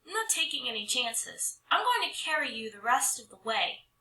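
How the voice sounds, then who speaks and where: A woman as plucky, bright-voiced storybook kid. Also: high voice, sing-song, moderate pace, slight background noise, pitched above the performer's own voice, full character voice, low-quality recording. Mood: determined. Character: plucky, quick-witted child outwitting trolls on a mountain. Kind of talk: storybook read-aloud dialogue.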